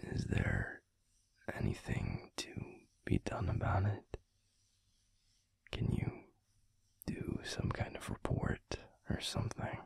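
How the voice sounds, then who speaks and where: Very deep, husky whispering man, tender boyfriend roleplay. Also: sing-song. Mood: gentle concern. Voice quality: breathy, husky, gravelly whisper. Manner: soothing, slow, gently coaxing. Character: tender protective male werewolf boyfriend, gently teasing. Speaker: audio roleplay voice actor. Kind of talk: intimate ASMR boyfriend roleplay.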